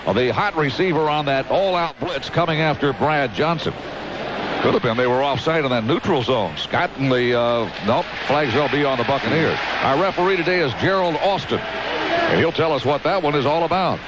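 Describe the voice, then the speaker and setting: A man's voice: fairly high pitch, expressive, moderate pace. A man with an American accent calling live football on radio as play-by-play announcer, in vivid, clipped phrases. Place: outdoor stadium broadcast booth. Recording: muffled radio broadcast, loud stadium noise. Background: loud stadium noise.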